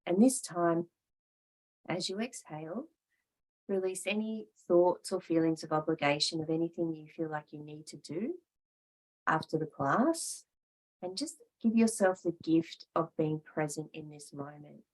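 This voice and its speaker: A woman leads a yoga and meditation class in a fairly low voice. She speaks very slowly and calmly, in short measured phrases with room left between them as she gives each instruction. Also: poor audio. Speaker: yoga teacher.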